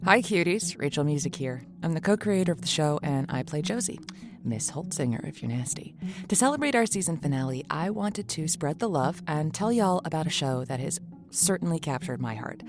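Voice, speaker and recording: A woman with a slightly low voice reads a scripted promo. She is playful and chatty, in a conversational podcast style, with music behind her. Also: animated, brisk. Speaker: show co-creator reading a promo.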